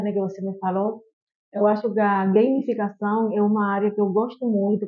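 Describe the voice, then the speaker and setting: A woman from Cuba with a Cuban Spanish accent, a chemistry professor giving measured, explanatory answers full of small fillers as a podcast guest. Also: mid-range voice. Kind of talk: podcast interview. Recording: tinny phone-like line.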